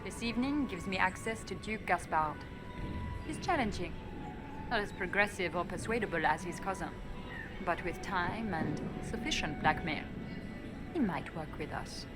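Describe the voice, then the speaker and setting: An actress playing an elven spymaster in a video game, guarded and coolly defiant, speaking in a measured, dry way. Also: female voice, lowish voice, animated, lightly acted. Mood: determined. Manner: measured, matter-of-fact, dry. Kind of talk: video game dialogue acting. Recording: music behind the voice. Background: game music.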